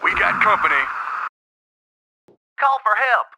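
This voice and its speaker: Game soldier: tough, brash marine, animated and rough, over heavy noise. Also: male voice, high-pitched, slow pace, exaggerated voice. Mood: alarmed. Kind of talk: video game voice acting.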